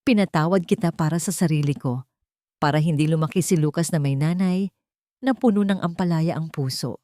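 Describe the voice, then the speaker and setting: A woman voicing a resolute young mother in a story, wounded but firm and measured. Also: sits low, dark tone, no echo, studio quality, lightly acted.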